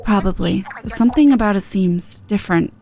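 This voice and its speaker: A woman voicing a cool, laconic young guide from a video game, in a deadpan, measured manner. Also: mid-range voice, gravelly, noisy background, pitched below the performer's own voice, close to an everyday voice. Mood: calm, explaining. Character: cool, low-voiced laconic young guide, calm and dry. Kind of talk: character reading of video game dialogue.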